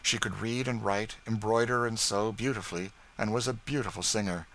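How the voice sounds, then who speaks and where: A man voicing a courteous young gentleman in an audiobook, frank, polite and informative. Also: fairly low pitch, fairly even intonation, fairly quick, slight background noise, pitched above the performer's own voice, low-quality recording. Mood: admiring. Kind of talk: audiobook character dialogue.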